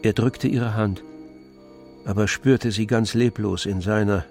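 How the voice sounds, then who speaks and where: A man's voice: fairly low pitch, steady tone, measured pace, a little mellow, faint music behind. A man narrating a literary radio drama in a scripted storytelling style.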